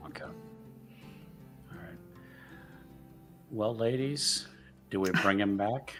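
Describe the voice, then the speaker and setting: Deeper, bright-voiced man at game table. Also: lively intonation, takes it slowly, faint music behind. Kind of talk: casual talk and in-character roleplay.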